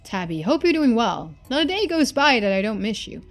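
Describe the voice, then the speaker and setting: A woman's voice: fairly high pitch, big pitch swings, fairly quick, soft background music. A woman voicing a loving, lonely middle-aged father in a story game, reading his letters in an earnest, folksy manner. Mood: tender.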